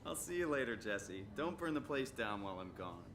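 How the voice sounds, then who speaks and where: A man's voice: mid-range voice, very animated, a little fast. An actor voicing a wry, sardonic young man in a video game, with dry remarks. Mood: teasing. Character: young adult man facing a brain scan, wry. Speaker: game protagonist. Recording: game audio with noisy ambience behind.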